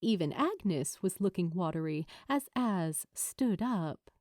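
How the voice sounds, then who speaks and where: Female fiction narrator, higher register, slow and dramatic, animated melody, dry audiobook sound. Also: no room sound. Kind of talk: expressive scripted fiction narration.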